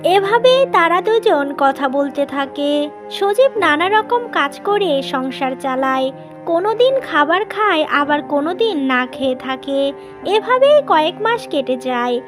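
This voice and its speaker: A woman's voice: high voice, expressive, unhurried, over music. A woman narrating a cartoon tale as a scripted storyteller.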